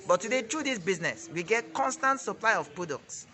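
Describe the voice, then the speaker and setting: A man's voice: sits high, big pitch swings, fairly quick, pushed and bright. A Nigerian man in his twenties with a Nigerian accent, giving an emphatic, preachy testimony as a network marketing director in an interview. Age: 20s.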